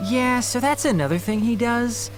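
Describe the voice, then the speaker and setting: Calm, dry young male scholar. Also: very high voice, over music. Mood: dry patience. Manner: dry, measured, articulate. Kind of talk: video game voice acting.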